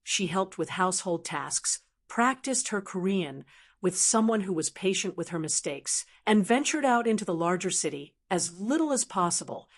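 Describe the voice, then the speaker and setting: A woman reading an audiobook in scripted narration, measured and even. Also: medium pitch.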